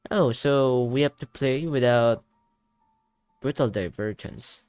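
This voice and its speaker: Young male gamer, medium pitch, excitable reaction commentary, thinking aloud, music behind. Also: slow pace, clean tone, dry recording. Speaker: gaming YouTuber. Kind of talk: casual game reaction commentary. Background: game music.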